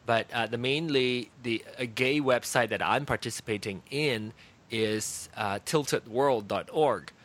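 A man's voice: medium pitch, measured pace, fairly bright tone. A Malaysian man hosting a casual podcast, chatty and giggly with campy asides, talking over an internet call. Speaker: podcast host.

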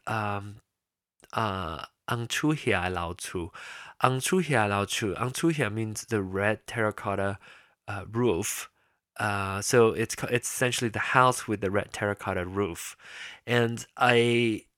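A man's voice: on the low side, fairly bright tone, no echo, studio quality. A man hosting his own podcast, talking casually and rambling with many restarts and fillers. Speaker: podcast host. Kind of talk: casual solo podcast talk.

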